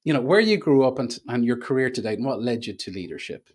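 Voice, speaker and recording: A man hosting a podcast interview, speaking in a halting way with many filler sounds. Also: medium pitch, animated. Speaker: podcast host.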